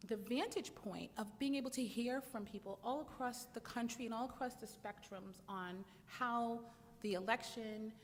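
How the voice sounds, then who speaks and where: A woman lawyer giving measured, earnest remarks on a conference panel, with frequent fillers. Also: medium pitch. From United States. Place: conference hall. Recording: long reverb with faint noise in a hall.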